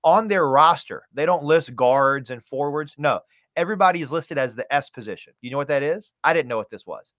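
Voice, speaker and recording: A man's voice: a little high, lively intonation, a little fast, fairly bright tone, no echo, studio quality. A man in his thirties, a sports announcer, giving conversational analysis emphatically in short, clipped recaps. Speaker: radio play-by-play announcer, podcast guest. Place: indoor room, on a video call.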